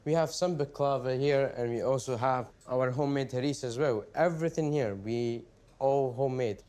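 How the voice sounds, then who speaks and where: A man's voice: mid-range voice, moderate pace, a little mellow. Young man explaining pastry, Scottish-tinged. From Syria. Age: 20s. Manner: plain, friendly, explaining step by step. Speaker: pastry chef. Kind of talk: casual chat while demonstrating baking.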